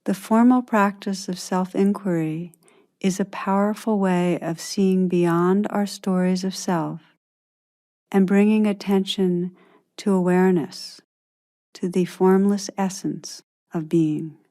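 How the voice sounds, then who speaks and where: Slow, mellow woman guiding a meditation. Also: mid-range voice, steady tone. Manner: soothing, unhurried, with long pauses. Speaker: meditation teacher. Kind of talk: guided meditation narration.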